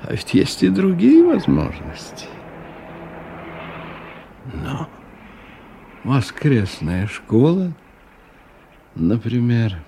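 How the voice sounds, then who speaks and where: An actor playing a weary, disillusioned middle-aged man thinking aloud in a radio drama, with halting, ruminative delivery. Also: mid-range voice, huge pitch swings, creaky, mellow, exaggerated voice. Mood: bitter. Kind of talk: radio drama interior monologue. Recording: 1990 radio recording with background noise.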